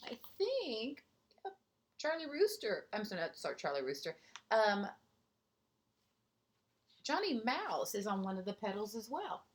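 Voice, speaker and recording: A woman reads a children's story at an unhurried pace, with lots of rise and fall in her pitch. She speaks like a gentle teacher, stepping out of the text to point things out and put questions to the young listeners. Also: very animated. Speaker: teacher reading a picture book to young children. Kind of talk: storybook read-aloud with chatty asides to children.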